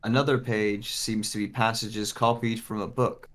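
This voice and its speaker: A man's voice: fairly low pitch, flat delivery, normal speed, natural voice. An actor playing a bewildered searcher with lost memory, narrating in the first person as he reads a dramatic script. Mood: pensive, searching.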